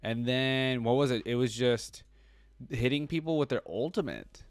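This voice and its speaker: A man's voice: mid-range voice, normal speed, dry recording, soft background music. A man hosting a reaction video, giving casual, conversational commentary that rambles with plenty of filler words.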